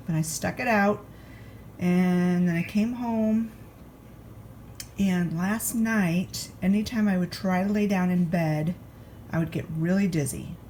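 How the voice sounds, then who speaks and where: A woman's voice: lowish voice, darkish tone, slight background noise. A congested woman chatting at home in a homey, talkative way as she narrates her food vlog from a recliner. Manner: chatty and homey, talking straight to the camera. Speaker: vlogger.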